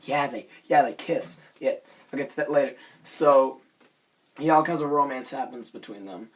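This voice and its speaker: A hoarse young man with a raspy voice, rambling casually through a self-conscious vlog with many fillers and restarts. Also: medium pitch, moderate pace. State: hoarse from screaming. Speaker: vlogger reviewing a cartoon episode.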